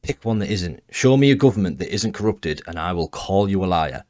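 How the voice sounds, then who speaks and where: A man's voice: deep voice, animated, brisk, darkish tone. A man with an English accent delivering a blunt, argumentative commentary rant, swearing freely. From England. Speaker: commentary channel host. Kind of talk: ranting commentary.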